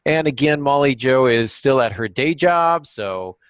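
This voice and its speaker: A man with a medium-pitched voice hosts a podcast. His delivery is informal and conversational, with a self-deprecating streak. The sound is close and dry, with hardly any room in it. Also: lively intonation, a little slow, clear, resonant tone, dry recording. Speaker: podcast host. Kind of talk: casual podcast hosting.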